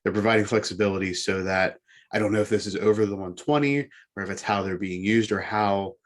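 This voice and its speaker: Man, sports podcast co-host, lowish voice, news items and discussion. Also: steady tone, a little fast.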